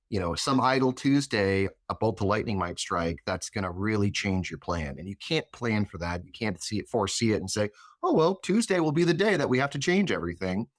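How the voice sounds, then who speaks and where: Thoughtful man with a lowish, close voice. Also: dry recording. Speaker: interview guest, chief of staff. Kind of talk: reflective interview conversation.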